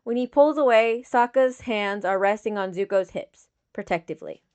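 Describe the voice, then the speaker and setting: Woman, mid-pitched voice, audiobook narration, measured, solemn, dramatic fiction. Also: darkish tone, clean tone, highs cut off. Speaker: audiobook reader of fan fiction.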